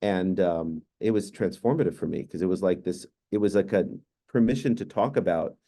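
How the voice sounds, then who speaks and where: Low, dark-voiced man moderating a panel. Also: moderate pace. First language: Hungarian. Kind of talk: online panel discussion. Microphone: video call.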